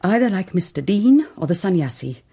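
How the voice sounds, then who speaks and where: Nun, woman, low voice, husky, hesitant, confessional, radio drama. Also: very animated, brisk, dark tone, heavily acted. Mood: troubled. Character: troubled, earnest nun, a woman gardener.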